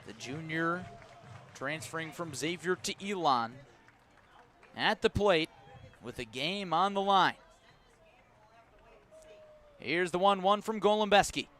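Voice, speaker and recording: A man's voice: high voice, animated, slow, long pauses, pushed and bright. A man doing live baseball play-by-play on the radio in clipped, descriptive phrases that build with the action, outdoors at a ballpark. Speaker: play-by-play sports announcer.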